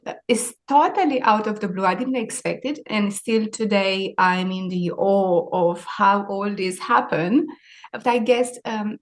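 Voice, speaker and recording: A woman in her forties with a Romanian accent, answering interview questions in a reflective, hesitant way. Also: mid-range voice. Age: 40s. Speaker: interview guest, maritime sustainability leader.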